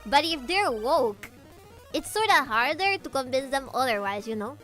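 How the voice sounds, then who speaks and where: A young woman chatting sarcastically with her viewers while she plays, close on a desk microphone. Her voice sits a little higher than most. Quiet game music runs behind her. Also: lively intonation, normal speed. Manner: chatty, sarcastic, reacts to chat and laughs often. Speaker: VTuber streamer. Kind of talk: casual gameplay stream chat.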